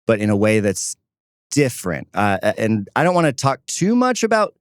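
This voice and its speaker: A male co-host talks in an informal podcast manner. His voice sits a bit low, and the recording is dry with no room sound. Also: sing-song, normal speed, dry recording. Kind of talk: casual podcast chat.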